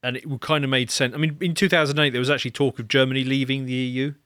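Podcast co-host, medium pitch man, restarts his sentences a lot, dry close sound. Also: fairly even intonation, rapid-fire, a bit bright, dry recording. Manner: conversational, stumbles and repeats words when starting a point. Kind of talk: casual podcast chat.

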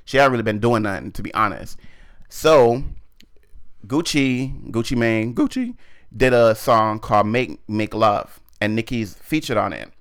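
A man's voice: medium pitch, unhurried, smooth. A man from Barbados co-hosting a podcast, chatting casually and getting flustered when cut off. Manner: rambling, easily flustered, quotes lyrics. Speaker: podcast co-host. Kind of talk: casual chat.

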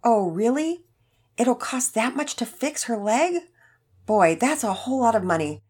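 A woman voicing a sweet, frail elderly man in a story, with a gentle, folksy, rambling manner. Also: fairly high pitch, lively intonation, a little slow, slightly rough, voice pushed up. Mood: troubled. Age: older. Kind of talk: acted character dialogue in children's story narration.

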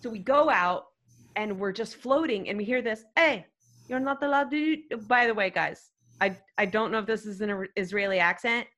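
A woman's voice: mid-range voice, moderate pace, dull audio. A woman telling a comedic story to a podcast panel, rambling and emphatic. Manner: animated, rambling, emphatic with "freaking". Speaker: guest storyteller.

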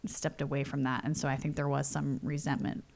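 A woman's voice: very deep voice, flat delivery, fairly quick, vocal fry, a little mellow. A woman in her forties from California, telling personal stories in a chatty interview full of "you know" and "like". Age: 40s. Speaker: interview guest. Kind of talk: casual storytelling. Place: home.

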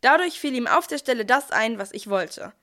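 A woman plays a down-to-earth angel narrating a nativity audio play. The voice sits fairly high and talks straight to the listener in a chatty way, as a busy helper would. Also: lively intonation, moderate pace, over music, lightly acted. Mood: matter-of-fact, pleased. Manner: chatty, addresses the listeners directly. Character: archangel Gabriel, busy, down-to-earth helper and storyteller. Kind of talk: scripted nativity radio play monologue.

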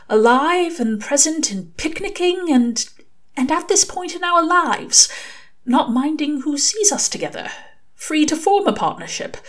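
A woman's voice: sits high, voice pushed up. A woman voicing a prim, earnest male angel, fussy and hopeful, with formal, mannered speech. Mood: tender, hopeful.

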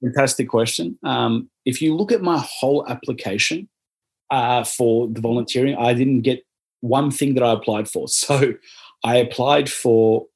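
A man's voice: medium pitch, normal speed, breathy edge. An Australian man talking casually in an interview, upbeat and rambling, with many fillers and restarts. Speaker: interviewee, former volunteer.

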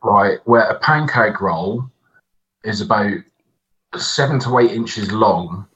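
Low British man, muffled lo-fi recording. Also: slow, fairly bright tone. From United Kingdom. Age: late 40s. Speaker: podcast co-host. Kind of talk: casual chat. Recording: dull, muffled lo-fi mic.

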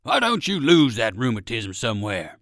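Storybook old circus dog, played by a man: eager, sociable, hearty, lively intonation, dry sound. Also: mid-range voice, normal speed, no room sound, pitched below the performer's own voice. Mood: eager, friendly.

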